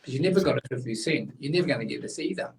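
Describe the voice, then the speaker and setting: A man's voice: medium pitch, animated, very fast, gravelly, far from the mic. A man, blunt and opinionated, explaining a tax rule in casual chat as co-host, a little away from the mic.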